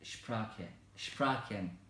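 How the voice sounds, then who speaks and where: A man in his twenties from Kenya, whose first languages are Mijikenda and Swahili, speaking careful learner's German with frequent pauses and fillers. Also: fairly low pitch, a bit bright, reverberant, slight background noise. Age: 20s. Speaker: German learner in a practice interview. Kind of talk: slow, halting learner German with many fillers.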